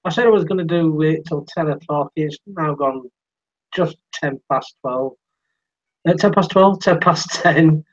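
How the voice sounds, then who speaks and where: An English man hosts a live-stream chat, his voice slightly high for a man and starting to give out. The sound is dull and lo-fi, with the top end cut off. He talks in a chatty, easygoing way. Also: a little slow. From England. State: losing his voice. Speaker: live-stream host and interviewer.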